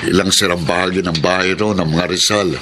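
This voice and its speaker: A man's voice: low-pitched, steady tone, brisk, bright, forceful tone, a little hiss or hum. An earnest, devoted Filipino father. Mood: troubled, reluctant. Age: middle-aged. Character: hardworking, devoted middle-aged father and maintenance worker. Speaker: actor in a radio drama. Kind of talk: Filipino radio drama acting and first-person narration.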